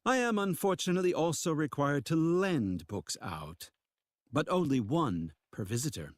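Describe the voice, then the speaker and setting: A man's voice: on the high side, expressive, normal speed, no echo, natural voice. A man voicing a fussy, curt male librarian in a game who talks in a prim, pedantic way. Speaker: game character. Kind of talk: voiced game dialogue.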